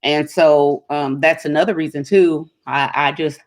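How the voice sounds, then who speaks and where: A woman's voice: sits low, steady tone, unhurried. A middle-aged woman, chatting casually with her viewers and laughing often. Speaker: vlogger. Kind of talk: casual live chat with viewers.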